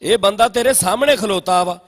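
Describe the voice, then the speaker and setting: A man's voice: high voice, a little fast, close to an everyday voice. A man voicing a bossy, mocking king in a comic cartoon dub, with a Punjabi accent. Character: blustering, mocking king, fond of threats and insults. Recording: music behind the voice. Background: music.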